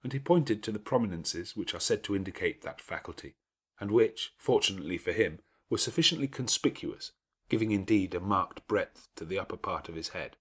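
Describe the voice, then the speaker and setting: Low, husky male literary narrator. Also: lively intonation, fairly bright tone. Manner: measured, formal, dramatic phrasing. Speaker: audiobook narrator, actor. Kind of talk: scripted literary narration, expressive.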